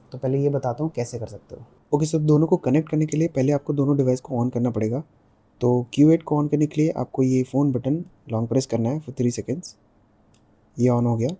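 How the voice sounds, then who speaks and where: Indian guy doing a casual gear review, really mellow dark voice, mixes hindi n english, walks you thru it step by step. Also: mid-range voice. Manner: explanatory, step by step, switching between Hindi and English. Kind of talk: casual product review to viewers.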